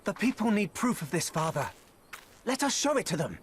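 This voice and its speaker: A man's voice: sits high, sing-song, fairly quick, a little hiss or hum. An actor playing an eager, earnest young nobleman in a video game, speaking formally and persuasively with conviction. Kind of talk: video game drama acting. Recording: loud music behind the voice.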